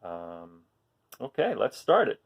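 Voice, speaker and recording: A man reading aloud to young children, expressive, with chatty asides and questions put to his listeners. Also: on the low side, takes it slowly, vocal fry. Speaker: children's storytime reader.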